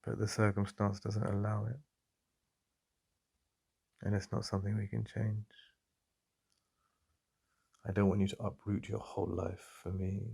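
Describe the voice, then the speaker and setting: Soft, intimate English boyfriend voice, very low, heavy fry, halting delivery. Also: male voice. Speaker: ASMR roleplay host. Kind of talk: boyfriend ASMR roleplay.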